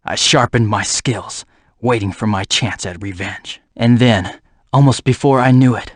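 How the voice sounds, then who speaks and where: A man voicing a brooding, vengeful assassin in a video game, speaking gravely and in measured, formal phrases. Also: fairly low pitch, no room sound, studio quality. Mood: grim, bitter. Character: brooding vengeful male assassin, haunted by his past. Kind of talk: dramatic English video game voice acting.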